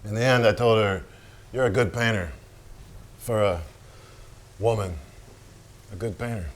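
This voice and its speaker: A man plays a brooding painter in a stage drama, gruff and crude. His voice is fairly deep. He talks in blunt, terse bursts with a sardonic edge. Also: unhurried, lightly acted. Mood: condescending. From United States (the figure, Wyoming). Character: Jackson Pollock, gruff, crude, brooding American male painter. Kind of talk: theatrical staged reading.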